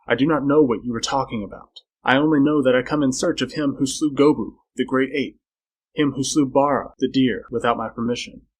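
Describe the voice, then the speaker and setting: Imperious, angry male jungle lord, read by a male narrator, husky and level, for an adventure audiobook. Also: mid-range voice, fairly even intonation, fairly quick, close to an everyday voice, rough recording. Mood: stern, level.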